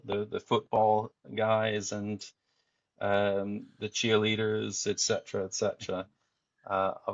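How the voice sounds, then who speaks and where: A man in his forties from Denmark, a podcast guest telling his life story in a relaxed, meandering way. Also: on the low side, gravelly, no room sound, highs cut off. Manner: frequent fillers and restarts, easygoing.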